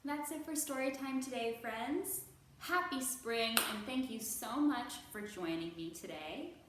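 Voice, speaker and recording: A woman hosting a children's storytime, reading and speaking to young listeners with slow, deliberate, expressive phrasing. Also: on the high side. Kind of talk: picture book read-aloud for young children. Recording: roomy echo, faint background noise.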